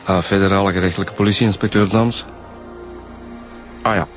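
An actor with a Flemish accent playing an easygoing, practical police detective, informal and helpful, in a radio drama. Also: male voice, deep voice, fairly even intonation, a little fast, over loud music, close to an everyday voice. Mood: easygoing, brisk.

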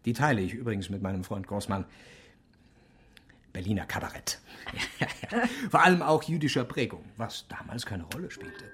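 Radio drama: bulky, fussy official, voice not deep, lively swooping intonation, eager and hesitant. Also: male voice, normal speed. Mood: flirtatious. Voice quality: voice not deep despite bulk. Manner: hesitant, stammering, chatty. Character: massive, fussy, eager publishers' association official.

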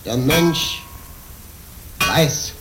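An actor playing a solemn old hermit monk in a German radio play, speaking with formal ceremony. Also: male voice, a little high, animated, drawn-out words. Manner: ceremonious, speaks of himself in third person. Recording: roomy, distant old radio drama with faint music.

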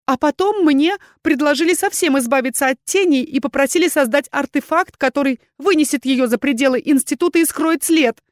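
A woman's voice: high voice, very animated, fairly quick, hardly any pauses, no room sound, pitched above the performer's own voice. A woman voicing a desperate, tearful wyvern woman, a spurned mistress who pleads in breathless, sobbing exclamations. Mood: desperate protesting.

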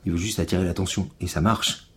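A man's voice: deep voice, steady tone, fast talker, breathy edge. A man playing a curt, persistent lead investigator in a naturalistic audio drama, matter-of-fact and serious. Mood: intent, explanatory. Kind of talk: naturalistic French audio fiction acting.

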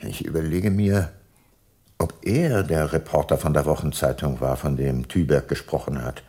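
A man's voice: a bass voice, moderate pace, mellow, husky, no echo. An actor playing a sombre, reflective older detective who narrates his own radio drama. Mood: sober, probing. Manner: reflective, sober. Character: brooding, sombre aging private detective narrator. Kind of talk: first-person radio drama narration and dialogue.

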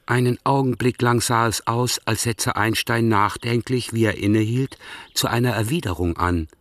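A man narrating a radio drama in a measured, even storytelling manner. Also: medium pitch, no room sound, clean studio sound.

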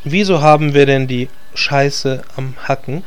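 A man's voice: medium pitch, a little slow, clean tone, close to an everyday voice. A man playing a blunt, sceptical adventurer in a comedic fantasy audio drama, curt and sarcastic. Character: blunt, sceptical male adventurer leading a party.

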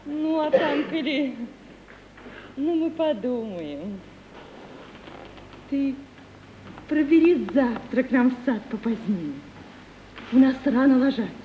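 Gentle worried young sweetheart, high voice, muffled old radio drama. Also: female voice, animated, takes it slowly, pauses often. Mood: anxious, tender. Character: anxious young woman in love, gentle and practical. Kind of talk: mid-century theatrical radio play acting. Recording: muffled, noisy 1950 radio play recording.

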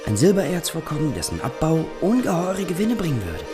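Animated visionary engineer, music behind. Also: male voice, fairly high pitch, brisk. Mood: urgent enthusiasm. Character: frustrated visionary engineer, eager for capital, 19th century. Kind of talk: German radio drama acting.

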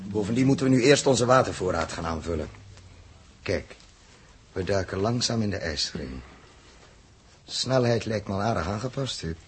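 An actor playing an earnest, resolute spaceship captain with a husky voice and weighty, deliberate delivery in a 1960s radio drama. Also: male voice, fairly low pitch, lively intonation, slight background noise, natural voice. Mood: calm command. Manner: weighty, deliberate, halting over phrases. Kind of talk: 1960s Dutch science fiction radio drama acting.

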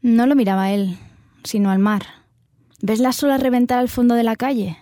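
A woman's voice: a little high, steady tone, moderate pace, warm tone, dry recording, clean studio sound, lightly acted. An actress with an accent from Spain playing a coy, pensive woman with her lover in a radio drama. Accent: Spanish, from Spain.

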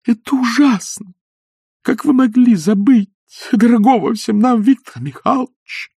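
A man's voice: big pitch swings, moderate pace, darkish tone, breathy edge, dry recording, studio quality, full character voice. A man voicing a timid, fluttering middle-aged lady who pleads and gushes, in an audiobook reading. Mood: shrill indignation. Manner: pleading, gushing, shrill when upset. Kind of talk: audiobook character voice.

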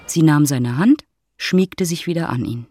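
An actor as the narrator of a literary love story, speaking in a dry, matter-of-fact manner. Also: male voice, fairly high pitch, unhurried, warm tone.

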